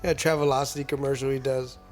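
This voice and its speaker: A man's voice: mid-range voice, level tone, fast talker, a little mellow, faint music behind. A man co-hosting a podcast, joking around and trying out silly accents in casual chat. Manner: playful, laughing, hamming up silly accents. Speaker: podcast co-host.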